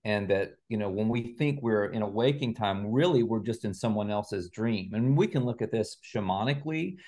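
A man's voice: lowish voice, normal speed, mellow. A man speaking as an interview guest, explaining ideas conversationally in a reflective way, like an author discussing his work. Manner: reflective, explains through analogies and examples.

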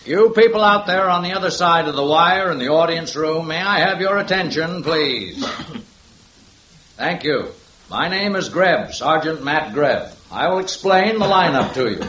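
An actor playing a businesslike police sergeant who announces a lineup in formal, procedural commands, in a 1950s radio crime drama. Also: male voice, a little high, lively intonation, unhurried, a bit bright. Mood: official, brisk. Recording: roomy, slightly hissy old radio recording.

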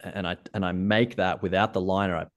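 An Australian man with a notably low, deep voice. He talks in an easygoing, considered way, working through technical details in casual conversation. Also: brisk. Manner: relaxed, thoughtful, technical about gear. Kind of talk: casual interview chat.

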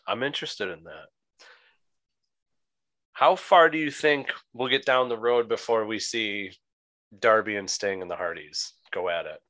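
A man with a raspy voice chats on a podcast. His tone is bright and forward. He talks loosely, with restarts. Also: on the low side, a little slow, long pauses. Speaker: podcast co-host. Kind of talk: casual wrestling podcast chat.

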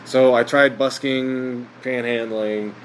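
A man chatting as a podcast guest, rambling with lots of fillers and quick laughs. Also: medium pitch, steady tone, a little hiss or hum. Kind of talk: casual chat.

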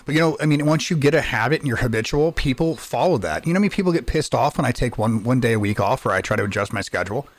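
A man co-hosting a podcast in casual chat, hoarse from losing his voice, rambling with frequent restarts. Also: mid-range voice, lively intonation, very fast, few pauses, no room sound. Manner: rambling, lots of restarts and fillers. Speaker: podcast co-host.

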